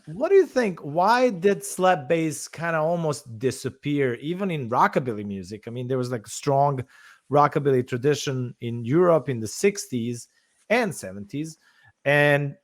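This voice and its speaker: Serbian-accented man asking halting questions. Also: a little high, a bit bright, no echo, studio quality. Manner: halting, restarts questions often. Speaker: podcast host. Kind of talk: casual interview questions.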